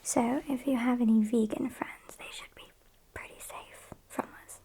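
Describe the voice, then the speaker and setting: A British woman playing a sassy, morbid gothic vampire kidnapper in a whispered ASMR roleplay, soft, unhurried and deadpan. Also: fairly high pitch, lightly acted. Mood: calmly menacing. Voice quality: breathy whisper.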